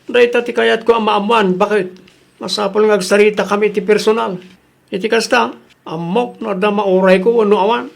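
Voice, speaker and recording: An earnest jeepney driver acted by a man in an Ilocano radio drama. The voice has a gravelly creak and an Ilocano accent, and sounds worried and respectful, like someone answering to a boss. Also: high-pitched, fairly even intonation, a little fast. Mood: worried, puzzled.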